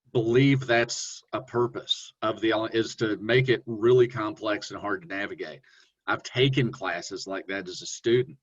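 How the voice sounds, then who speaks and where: A man presenting a webinar on online teaching, talking in a relaxed, conversational way with fillers and self-corrections. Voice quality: deep voice. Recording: dull lo-fi webinar audio.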